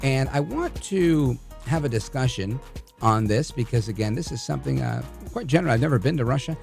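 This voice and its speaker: Gravelly man hosting live talk radio. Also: mid-range voice, lively intonation, fairly quick, a little mellow, soft background music. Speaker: radio talk show host.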